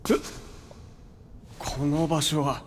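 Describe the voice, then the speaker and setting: An actor playing a brash, warm-hearted young man with casual, slangy speech in a Japanese audio drama. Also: fairly high pitch, little pitch movement, very slow, a little hiss or hum. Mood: puzzled, curious.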